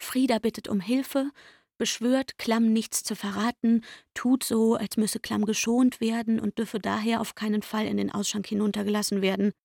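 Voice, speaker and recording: A woman's voice: a little high, steady tone, normal speed, creaky, a little mellow, no room sound, clean studio sound. A woman playing a young, jealous barmaid in a literary radio drama monologue, emphatic, brooding and aggrieved. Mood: accusing. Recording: music playing behind the voice. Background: music.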